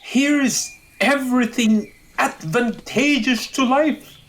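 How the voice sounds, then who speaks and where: A man's voice: very high-pitched, slow pace, a bit bright, exaggerated voice, low-quality recording. A man playing a kindly, optimistic, long-winded old courtier in a Shakespearean audio drama, wordy and earnest. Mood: earnest cheer.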